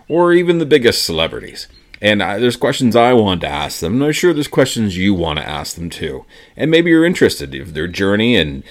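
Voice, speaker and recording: A middle-aged Canadian man hosting a casual solo podcast, rambling and halting with many ums and uhs. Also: sits low. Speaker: podcast host.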